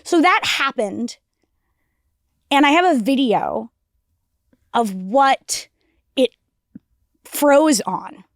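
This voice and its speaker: A young woman with a raspy voice co-hosting a casual podcast, rambling with restarts and lots of "like". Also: high voice, lively intonation, extremely slow, pauses often, no echo, studio quality. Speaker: podcast co-host. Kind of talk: casual chat.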